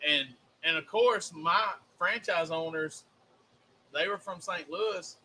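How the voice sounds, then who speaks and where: A Southern man with a high, bright voice chats in a folksy, rambling way as co-host. A faint hiss sits under the recording. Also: slow pace, poor audio. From American South. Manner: folksy, drawling, rambling storyteller. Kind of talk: casual chat.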